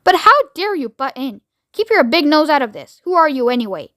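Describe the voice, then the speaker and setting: Woman as an excitable, high-pitched male astronaut. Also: fairly quick, no room sound, studio quality. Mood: cheeky, indignant. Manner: excitable, blurting, emphatic. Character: flustered, cheeky male astronaut reporting from orbit. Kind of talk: children's audiobook character voice.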